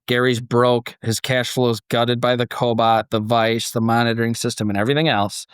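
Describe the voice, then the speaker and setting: A man's voice: mid-range voice, little pitch movement, moderate pace, clean tone, no room sound. Man hosting and narrating, very dry sound. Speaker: podcast host and story narrator. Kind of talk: dramatic scripted narration mixed with casual podcast discussion.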